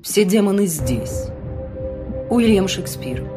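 A woman narrating an audiobook in a dramatic first-person style, expressive, with deliberate pauses. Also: medium pitch, over music.

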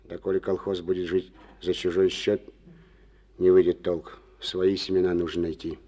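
A proud middle-aged collective farm chairman in an old radio play, a wounded war veteran. His low voice is gruff and folksy, turning from dry mockery to grave, heavy seriousness. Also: level tone, moderate pace, dark tone. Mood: stern. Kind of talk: 1950s Soviet radio drama acting.

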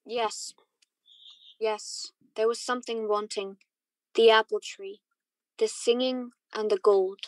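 A woman playing a grieving, remorseful man who narrates his memories haltingly in radio drama style. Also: on the high side, steady tone, dry recording, poor audio. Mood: wistful. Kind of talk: 1940s radio drama acting.

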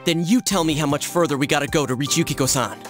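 Man playing an earnest, higher-voiced teenage boy. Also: fast talker, close to an everyday voice. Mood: urgent, frustrated. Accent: American. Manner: casual, urgent, persuasive. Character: earnest teenage schoolboy, casual sidekick and advisor. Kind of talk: video game voice acting. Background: game music.

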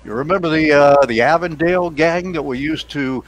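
A man's voice: on the high side, moderate pace. An older American man hosting a casual chat, reminiscing in a rambling, chuckly way into a headset mic on a video call. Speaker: radio show host. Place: indoor home studio on a video call. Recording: noisy home video-call audio.

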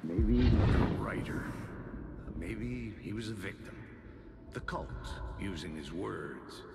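An actor playing a grim, world-weary narrator with an ominous, deliberate delivery in scripted noir narration. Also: male voice, lowish voice, lively intonation, creaky, very noisy, exaggerated voice. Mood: grim, intense. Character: grim hard-boiled male narrator, brooding, intense, world-weary.

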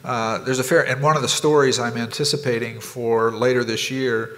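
A man moderating a conference panel, halting and hesitant with frequent fillers. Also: medium pitch, fairly even intonation, fairly bright tone, big-room sound, a little hiss or hum, rough recording. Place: conference ballroom stage.

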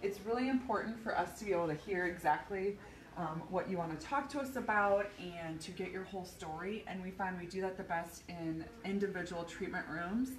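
A woman's voice: lowish voice, a little fast, recorded from a distance. A woman, chatty and bubbly, giving a casual live tour of a small clinic while walking. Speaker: physical therapy clinic owner giving a tour. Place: small clinic indoors, hallways and treatment rooms. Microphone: phone camera held by another person. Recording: roomy phone audio from a few feet off, faint hum.

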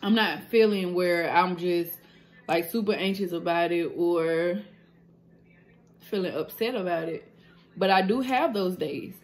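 A woman, chatting candidly to her phone camera in a small room, with lots of fillers and slang. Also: lowish voice. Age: 20s. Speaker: nail business owner and vlogger. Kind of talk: casual vlog chat to camera.

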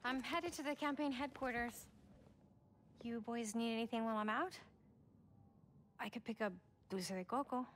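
A woman's voice: fairly high pitch, normal speed, pauses often, fairly bright tone, slight background noise, natural voice. A middle-aged woman playing a caring, resolute mother in a video game cutscene.

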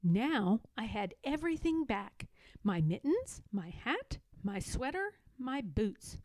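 A woman narrates a children's picture book with lots of expression. Her voice is on the high side and swoops widely, while her pace stays slow, with dramatic weight on key words. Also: big pitch swings, a little mellow, no room sound. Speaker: storybook reader.

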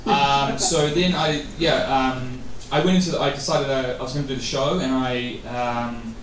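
A man's voice: medium pitch, steady tone, moderate pace, a little hiss or hum. A man in his thirties from New Zealand, answering interview questions casually at a meetup and rambling with many fillers and restarts. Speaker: guest speaker. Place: event venue. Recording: echoey room from far off.

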